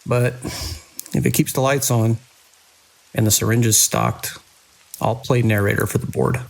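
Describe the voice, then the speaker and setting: A man playing a weary hospital director and surgeon in an audio drama, speaking in a measured, formal, wry way. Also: mid-range voice, fairly even intonation, vocal fry, poor audio. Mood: grave, measured. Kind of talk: scripted audio drama narration.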